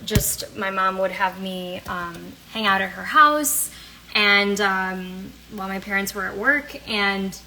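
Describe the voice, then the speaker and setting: A woman's voice: medium pitch, slow, gravelly, bright, forceful tone. Chatty woman cooking in a noisy kitchen. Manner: chatty, upbeat, rambling with fillers. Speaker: cooking and health coach host. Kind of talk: casual cooking demo talk. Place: home kitchen. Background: pans and utensils clattering.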